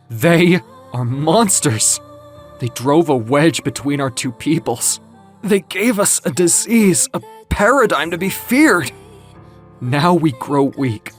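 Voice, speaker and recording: Over music, a man acts out an ancient winged muse, solemn and impassioned. The figure delivers grave, deliberate speeches in the theatrical manner of an audio comic drama. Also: fairly high pitch. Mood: impassioned, bitter. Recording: music behind the voice.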